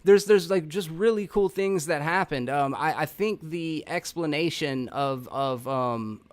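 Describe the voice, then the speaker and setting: A man's voice: a little high, measured pace. A man hosting a casual movie review chat, talking in a slangy way with some swearing. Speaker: podcast host.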